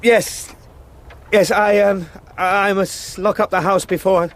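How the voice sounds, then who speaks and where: An actor playing a young, polite solicitor in a radio drama, rattled, halting and bewildered. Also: male voice, high voice, fairly even intonation, fairly bright tone. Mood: shaken, anxious.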